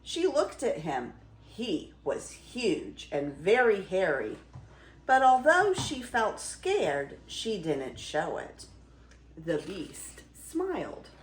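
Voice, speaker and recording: An older woman reads a fairy tale aloud in a slow, highly animated voice. Her delivery is theatrical and full of emphasis. The sound is roomy and echoing. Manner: theatrical, dramatic storytelling with emphasis. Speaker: grandmother reading stories to her granddaughter.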